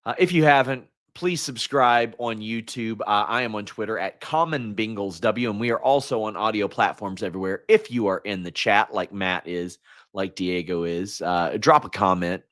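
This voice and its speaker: A man hosting a solo sports talk stream, hoarse and frustrated, venting like a fan and often restarting his sentences. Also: on the low side, normal speed, a bit bright. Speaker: host.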